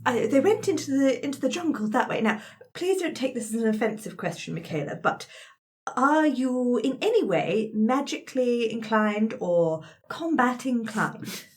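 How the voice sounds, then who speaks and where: Higher voiced lady rpg player, really animated, polite and tactful, chatting in character. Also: moderate pace. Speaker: player in a tabletop roleplaying show. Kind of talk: improvised in-character game chat.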